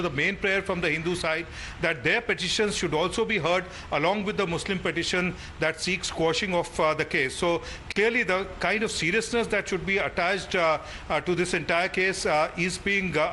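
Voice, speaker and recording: A male news reporter with an Indian accent speaks in a high, sharp voice. He reports live without a script, hesitating and repeating himself. Faint ambient noise sits behind him. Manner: halting, repetitive, hesitant delivery. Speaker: news reporter covering a court case.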